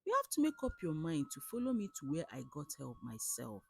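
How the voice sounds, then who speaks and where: A woman's voice: low voice, huge pitch swings, fairly quick, gravelly, darkish tone, slight background noise, heavily acted. A woman with a Nigerian accent voicing a sly, worldly male friend in a folktale, insistent and knowing as he persuades. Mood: urging. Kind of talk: storybook folktale character.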